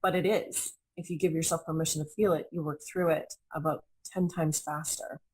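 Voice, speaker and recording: Earnest woman with a low voice. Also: steady tone, normal speed, darkish tone, slightly rough, poor audio. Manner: earnest, reflective, repeats phrases for emphasis. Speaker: intuitive reader and healer, guest. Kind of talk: conversational interview.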